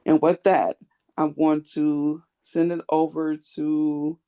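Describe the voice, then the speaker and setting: A woman's voice: low-pitched, little pitch movement, slow pace, dark tone, clean tone. A woman talking earnestly and encouragingly about her mindset, sharing on a video call. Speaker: webinar participant.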